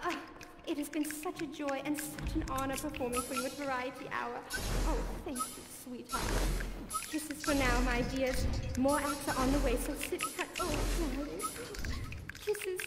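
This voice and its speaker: A woman's voice: sits high, normal speed. A woman voicing a glamorous female cat cabaret diva, gushing with theatrical endearments between songs. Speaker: variety show performer. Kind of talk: cabaret song and stage patter. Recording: echoey hall with music behind.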